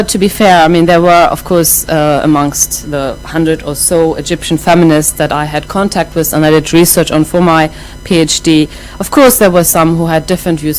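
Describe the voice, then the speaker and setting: A middle-aged woman with a German accent giving a lecture, thoughtful and hesitant, with many pauses and restarts. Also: deep voice, rough recording. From half German, half Iraqi. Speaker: academic speaker.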